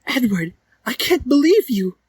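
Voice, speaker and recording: Man putting on a high, overwrought teenage girl. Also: big pitch swings, a little slow, darkish tone, breathy edge, no room sound, heavily acted. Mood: tearful. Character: tearful, dramatic, accusing teenage girl.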